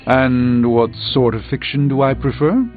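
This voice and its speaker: An actor playing a learned, dryly witty sorcerer superhero in a video game, speaking formally and theatrically. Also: male voice, medium pitch, steady tone, measured pace, lightly acted. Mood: musing, pompous. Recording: dull game audio under music.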